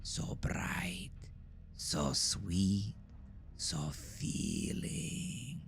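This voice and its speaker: A man voicing an eerie young girl hiding something ancient, flat, metallic and taunting, in an audio drama. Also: low-pitched, drawn-out words, gravelly, whispered, full character voice. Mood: flat, mocking.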